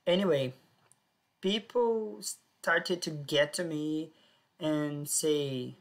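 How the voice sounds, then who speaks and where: Slow, low-voiced woman telling her story. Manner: plain, simple sentences with short pauses. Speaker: personal storyteller. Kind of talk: first-person life story.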